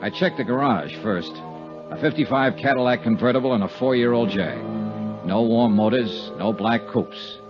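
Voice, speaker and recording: An American man playing a tough, unflappable insurance investigator in 1950s radio drama, with a clipped, matter-of-fact delivery and a husky voice. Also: medium pitch, normal speed, close to an everyday voice. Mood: dry, matter-of-fact. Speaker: lead actor and narrator. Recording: muffled 1950s radio recording, music behind.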